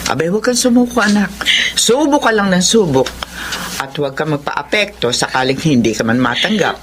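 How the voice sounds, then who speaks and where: A woman's voice: lowish voice, sing-song, fairly quick, slight background noise. An actress playing a caring middle-aged mother who soothes and encourages her daughter. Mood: comforting. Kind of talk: Filipino radio drama acting.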